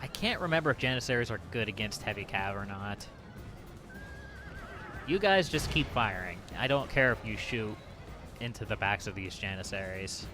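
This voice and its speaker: A man's voice: on the low side, animated, normal speed, over music. A man giving casual let's play commentary on a video game, thinking aloud in a wry, resigned way. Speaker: gaming channel host.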